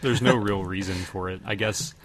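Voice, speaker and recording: A man hosting a podcast, casually discussing a TV show in halting, thought-out phrases. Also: deep voice, fairly even intonation, a crowd in the background. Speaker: podcast host. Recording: noticeable background noise.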